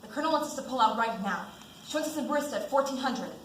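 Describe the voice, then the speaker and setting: A woman's voice: on the high side, expressive, very fast, natural voice. A young woman acting in a student stage drama as a dutiful, pragmatic army lieutenant, formal and earnest. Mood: brisk. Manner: formal, earnest military delivery. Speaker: student actor. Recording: echoey stage with background noise.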